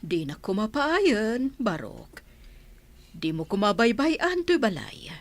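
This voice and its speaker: Animated middle-aged Filipino mother played by a woman. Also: mid-range voice, takes it slowly, full character voice. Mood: bossy. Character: brisk, materialistic mother and godmother of a household. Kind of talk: Filipino radio comedy drama acting.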